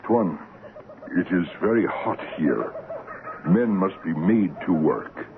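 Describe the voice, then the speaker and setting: An actor playing a courteous, patient, quietly ominous African trading-post assistant in a radio drama, speaking measured, broken English. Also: male voice, low voice, lively intonation, exaggerated voice. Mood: patient, solemn. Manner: measured, deferential, foreign-sounding broken English. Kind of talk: 1970s radio drama acting. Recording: muffled, noisy 1970s AM radio recording.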